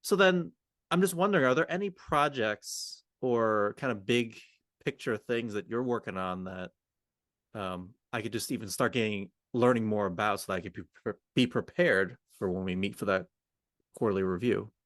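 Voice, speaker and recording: A man's voice: lowish voice, normal speed, fairly bright tone, no echo. A man co-hosting a podcast, talking in an informal, collaborative, conversational manner. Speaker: podcast co-host. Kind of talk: roleplay and conversational coaching discussion.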